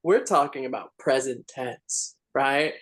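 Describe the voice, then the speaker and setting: A man holding forth in a church discussion group in an animated, lecturing way, often checking in with "right?". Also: mid-range voice, unhurried.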